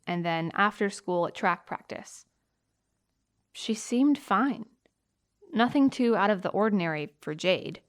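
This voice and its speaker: A woman's voice: mid-range voice, natural voice. Woman acting earnest, worried teenage girl. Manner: earnest, emphatic. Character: worried, loyal high school girl, missing girl's close friend. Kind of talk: naturalistic audio drama police testimony.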